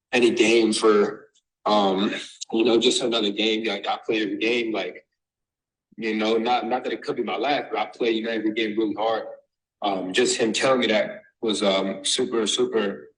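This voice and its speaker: A young man from Massachusetts, raised in Texas, answering interview questions as a basketball recruit, halting with many fillers and restarts. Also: fairly low pitch, a bit bright, low-quality recording. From born in Massachusetts, raised in Texas. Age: young adult.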